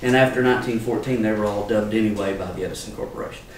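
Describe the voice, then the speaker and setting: A man's voice: lowish voice, very fast. An older man gives an informal, folksy explanatory talk as an archivist demonstrating to a small group. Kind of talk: informal live lecture. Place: wood-paneled salon with small audience. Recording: echoey room, distant mic, faint noise.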